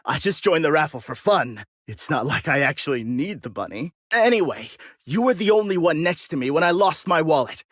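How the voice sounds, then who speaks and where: Haughty young man, video game character, pompous, blustering, accusatory, animated pitch swings, dry studio sound. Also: a little fast, no room sound. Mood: accusing, haughty.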